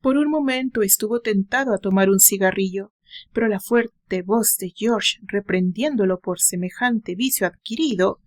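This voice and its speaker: A woman's voice: mid-range voice, normal speed. A woman reading scripted, dramatic story narration. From Mexico.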